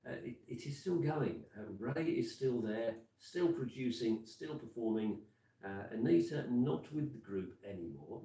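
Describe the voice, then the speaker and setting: A man's voice: on the low side, warm tone. A man in his fifties with a Birmingham accent, presenting live radio in a casual, rambling way, full of fillers and restarts. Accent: Birmingham (Brummie). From Birmingham, England. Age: 50s. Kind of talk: casual live radio chat with song links. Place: community radio studio. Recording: echoey room, mic at a distance. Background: music playing.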